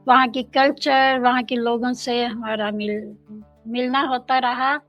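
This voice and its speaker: An older woman from India, a guest in an interview, speaking in a slow, thoughtful way and mixing in English phrases. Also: fairly high pitch, flat delivery, lo-fi sound, faint music behind.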